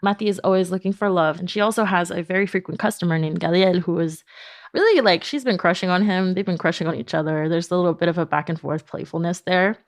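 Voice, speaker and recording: Female podcast guest, conversational and explanatory, lower-toned, very dry sound. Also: fast, no echo. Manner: informal, explanatory, frequent "like". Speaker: podcast company founder, guest. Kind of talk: casual interview conversation.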